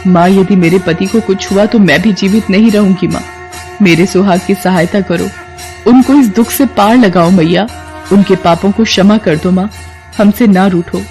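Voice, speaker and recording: A woman's voice: mid-range voice, moderate pace, a little mellow, faint music behind, close to an everyday voice. A woman voicing a humble, devout young wife in a story, plaintive and pleading. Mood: tearful pleading.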